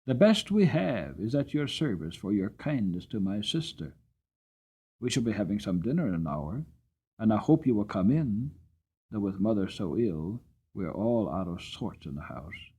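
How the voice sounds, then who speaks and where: A young Victorian farmer , played by a man, courteous and humbly hospitable. The storybook delivery is earnest, with lively pitch. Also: moderate pace, breathy edge. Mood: welcoming, humble. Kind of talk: dramatic storybook reading.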